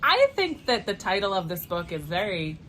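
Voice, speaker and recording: A woman's voice: medium pitch, big pitch swings, normal speed, over a noisy crowd. A woman teacher chatting casually as she presents stories read aloud for children.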